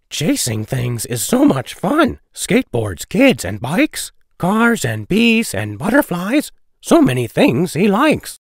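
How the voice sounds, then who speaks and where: A man reading children's rhyming poems aloud in a playful, deliberate, sing-song storybook style. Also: on the high side, gravelly, slightly rough, no room sound, clean studio sound. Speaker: children's book read-aloud narrator.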